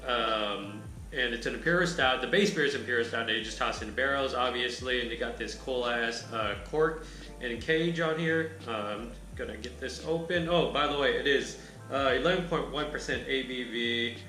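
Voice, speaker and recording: A man with a raspy, bright-toned voice talks through a beer review in a loose, rambling way. He speaks in an echoey room with faint music playing in the background. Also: medium pitch, moderate pace. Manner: laid-back, rambling, lots of filler words. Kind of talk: casual chat.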